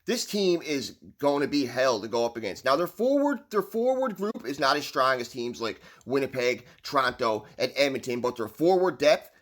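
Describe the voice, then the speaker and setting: A man's voice: mid-range voice, sing-song, moderate pace, hardly any pauses, fairly bright tone. A man with a Newfoundland accent, giving upbeat, rambling hockey commentary on his own channel. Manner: earnest, upbeat, rambling with restarts. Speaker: hockey vlogger.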